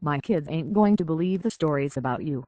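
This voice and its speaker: An actor voices a plainspoken survivor of a rough harbor town for a video game. The delivery is terse, guarded and folksy. The sound is dry and slightly dull. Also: mid-range voice, animated, brisk, mellow, dry recording, lightly acted. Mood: guarded, stern. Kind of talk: video game character acting.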